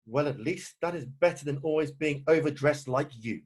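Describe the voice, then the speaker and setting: Casting: a man as stern, easily offended gentleman in a stage comedy read-through, higher-pitched, rough-edged voice. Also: moderate pace. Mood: stern, irritated. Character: stern, indignant, proper young gentleman. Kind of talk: amateur play read-through. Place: at home on a video call. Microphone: video call.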